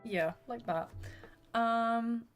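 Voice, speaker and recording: A soft-spoken woman whose voice is going hoarse, chatting apologetically with viewers as she draws on a livestream. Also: a little high, extremely slow, faint music behind. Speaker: art streamer. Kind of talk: casual livestream chat while drawing.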